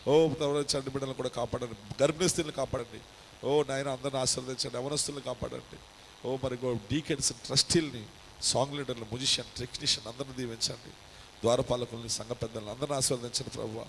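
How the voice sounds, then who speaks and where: A man leading a fervent congregational prayer as pastor, ending each line with an address to God. His voice surges loud and high at the peaks, then drops to a pleading murmur. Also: steady tone, fast talker, vocal fry, slight background noise, poor audio. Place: church service streamed live.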